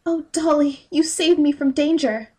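A woman's voice: sits high, a little slow, mellow. A woman playing a timid, dutiful young girl in a fairy-tale audio drama, meek and polite. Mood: relieved.

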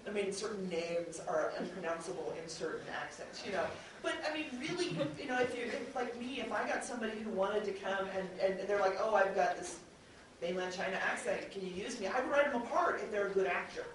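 A woman's voice: mid-range voice, animated, brisk. Rambling woman on an echoey convention panel. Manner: rambling, self-correcting, laughs often. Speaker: podcast host and audio drama writer. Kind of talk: panel discussion. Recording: very echoey room, distant mic, faint noise.

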